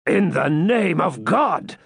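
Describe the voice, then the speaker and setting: A man voicing a stern, proud old Saxon lord and father in a forceful, declamatory storybook manner with archaic speech. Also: a little high, very animated, a little slow, no echo, studio quality, exaggerated voice. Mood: awestruck, urgent.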